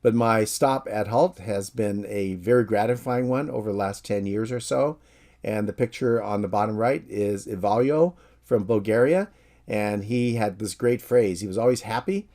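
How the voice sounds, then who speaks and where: A middle-aged American man, a professor from San Francisco, giving a calm, friendly, measured course introduction. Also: on the low side. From San Francisco, USA.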